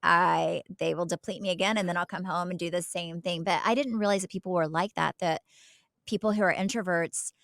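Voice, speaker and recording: Woman podcaster, slightly low voice, dry sound. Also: fairly even intonation, a little fast, creaky, a bit bright, dry recording. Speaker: podcast co-host. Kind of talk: casual podcast chat.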